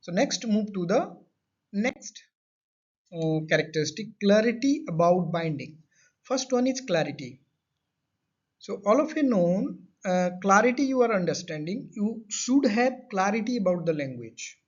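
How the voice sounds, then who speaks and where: A man with a high voice delivers a lecture and restates each point to make it land. He builds his explanations around everyday examples. The recording sounds dull and lo-fi. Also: big pitch swings, measured pace, breathy edge. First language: not Hindi. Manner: repeats and rephrases points. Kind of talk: classroom lecture. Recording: dull lo-fi audio.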